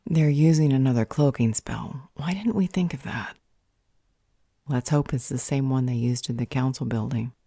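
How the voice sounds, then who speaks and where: Casting: woman as analytical female magic user, audio roleplay. Very low, mellow voice, measured and serious. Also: breathy edge, dry recording, clean studio sound, exaggerated voice. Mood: tense, focused.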